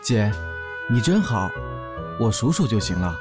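A man's voice: fairly low pitch, sing-song, unhurried, over music. A man playing a lovesick, indecisive young orc rogue, a hesitant and earnest little brother, in an audio drama. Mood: sheepish, torn.

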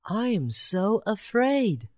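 A woman voicing a small, anxious country mouse in a children's storybook, squeaky and slow. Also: very animated, a little mellow, no room sound, studio quality. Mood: worried.

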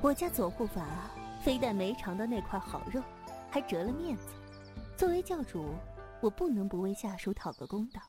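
A woman's voice: mid-range voice, very animated, moderate pace, over music, close to an everyday voice. An actress playing a proud, teasing female martial sect leader in a wuxia audio drama, haughty and blunt, with a hidden tenderness. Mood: commanding.

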